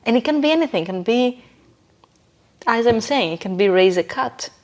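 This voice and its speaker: A woman's voice: on the high side, lively intonation, normal speed, a little mellow. A woman speaking as a podcast guest and coach in a conversational, motivational way, stressing key words emphatically.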